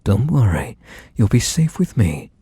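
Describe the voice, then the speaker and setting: Low, husky, unhurried mafia boss for ASMR roleplay, male actor: manipulative, obsessive sweetheart talk with quiet menace, close and dry. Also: big pitch swings, no room sound, heavily acted. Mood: tender, possessive. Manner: coaxing, drawn-out endearments, softly menacing. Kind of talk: ASMR roleplay, intimate monologue to the listener.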